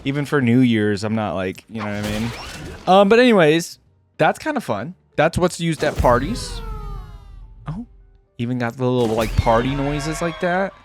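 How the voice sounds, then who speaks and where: A man's voice: mid-range voice, big pitch swings, moderate pace, soft background music. A man giving casual reaction commentary on video game skins, rambling and interjecting as he thinks aloud.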